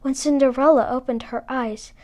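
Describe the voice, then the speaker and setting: Female narrator for children's fairy tales, high-pitched, expressive scripted read-aloud. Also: normal speed. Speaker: storybook reader.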